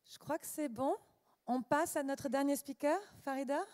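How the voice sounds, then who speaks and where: Woman, event co-host, higher voice, mellow, brisk crowd management, long hall reverb. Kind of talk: hosting between talks.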